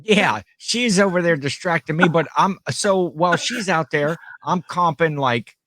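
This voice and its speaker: A middle-aged man co-hosts a casual podcast and retells a story, putting on the voices of the people he talks about. His voice sits a little high for a man, and the recording is close and dry with no room sound. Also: a little slow, sharp tone, no room sound. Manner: storytelling, acts out quoted people. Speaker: podcast co-host. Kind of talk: casual chat.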